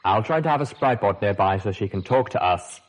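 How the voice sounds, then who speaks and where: A man voicing a male helper who talks through a sprite robot, in a mechanical monotone, for a storybook reading. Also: on the low side, brisk. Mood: flat.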